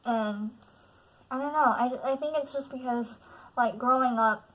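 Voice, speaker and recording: A woman in her late teens with a nasal voice and a lisp, speaking haltingly in a candid personal vlog. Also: fairly high pitch, fairly bright tone, rough recording. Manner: halting, frequent "like" fillers, candid. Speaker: vlogger.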